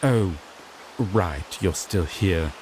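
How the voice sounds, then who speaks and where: A man's voice: low voice, animated, drawn-out words, a little mellow, a little hiss or hum, full character voice. A man playing a sassy, exasperated griffin knight in a fantasy audio roleplay, pompous one moment and sardonically grumbling the next. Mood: resigned, wry. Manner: pompous proclamations slipping into flustered, sardonic grumbling.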